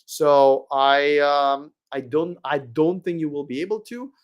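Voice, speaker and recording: A man hosting a casual live stream, reading viewer questions and answering them in a rambling way with many fillers and restarts, his voice going hoarse. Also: on the high side, a little slow. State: losing his voice.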